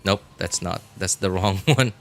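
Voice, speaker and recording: A man chatting casually as he streams a game, rambling and halting as he hunts for words in a self-deprecating way. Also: low voice, vocal fry, over music. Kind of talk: casual livestream chat while gaming.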